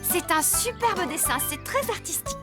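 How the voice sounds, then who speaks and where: A woman playing a kindly, enthusiastic farmer in a children's cartoon, with an expressive, encouraging delivery. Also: very high voice, normal speed, loud music behind the voice. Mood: admiring, encouraging.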